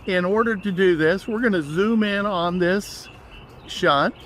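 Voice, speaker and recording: A man's voice: high voice, a little slow, fairly bright tone, a little hiss or hum. A man giving an instructional walkthrough as a trainer, explaining each step plainly.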